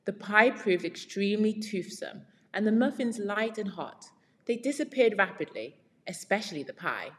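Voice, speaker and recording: A woman's voice: mid-range voice, lively intonation, normal speed, fairly bright tone, slight background noise. Woman reading aloud in a very echoey room. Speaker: read-along storyteller and English teacher. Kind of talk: storybook read-aloud with word explanations. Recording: very reverberant room.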